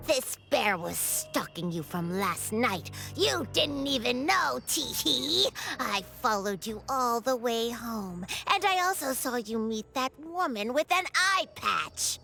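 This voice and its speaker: A woman's voice: high-pitched, very animated, slow pace, gravelly, sharp tone, soft background music, full character voice. A woman voicing a small, gleefully malicious shapeshifting teddy bear in a video game, theatrical and taunting in a childlike way. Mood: smug, taunting. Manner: theatrical, taunting, speaks of itself in third person. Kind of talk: anime-style video game voice acting.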